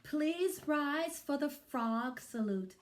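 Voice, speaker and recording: A woman's voice: high-pitched, lively intonation, slow, voice pushed up. A woman voicing a silly, cheerful female schoolteacher from a storybook, calling out singsong announcements to her class. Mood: cheerful announcing.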